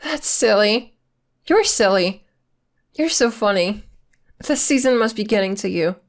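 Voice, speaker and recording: A woman's voice: medium pitch, lively intonation, a little slow. A woman acting in an ASMR roleplay as a playful, evasive girlfriend who is secretly a witch, talking intimately in a coy, teasing, casual way. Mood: playfully defensive. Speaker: voice actor.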